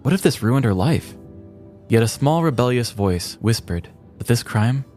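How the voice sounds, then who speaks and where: A man host narrating a scripted comedic crime story with a wry, deadpan delivery. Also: mid-range voice, moderate pace, faint music behind.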